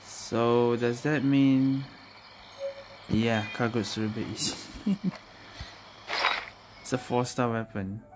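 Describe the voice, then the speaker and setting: A woman giving casual, low-key gameplay commentary, murmuring to herself as she plays. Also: steady tone, takes it slowly, pauses often, background noise. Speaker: video game streamer. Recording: game music behind the voice.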